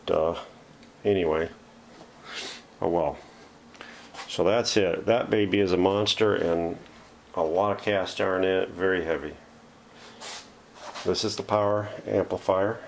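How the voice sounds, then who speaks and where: A man's voice: sits low, fairly even intonation, unhurried, long pauses, slight background noise. A man hosting a casual, unscripted workshop show-and-tell in a folksy, self-deprecating way, recorded indoors at home on a camera mic. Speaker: host of a vintage radio restoration channel.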